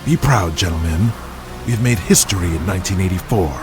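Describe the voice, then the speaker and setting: A man plays a ruthless boss of a wrestling empire, gloating and menacing in a low voice. He speechifies pompously, as in an audio drama, with music clearly underneath. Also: brisk, lightly acted. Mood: boastful, proud.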